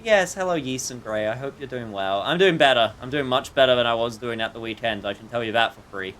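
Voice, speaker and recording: A man's voice: medium pitch, fast talker, fairly bright tone, a little hiss or hum. A man livestreaming a video game with casual chat and wry, joking, sometimes sweary commentary.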